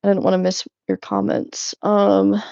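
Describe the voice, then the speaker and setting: A woman's voice: mid-range voice, sing-song, a little slow, gravelly, warm tone, smooth, dry recording. A young adult woman streaming while she cleans, chatting loosely with her viewers, giggling and swearing often. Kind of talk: casual live-stream chat while cleaning. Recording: slightly muffled, dry sound.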